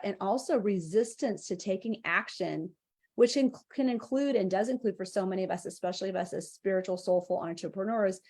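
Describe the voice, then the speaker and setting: A woman's voice: mid-range voice, mellow. A woman speaking as a guest on a live spiritual interview, talking in an earnest, heartfelt way with long flowing phrases. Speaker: interview guest, angel mentor.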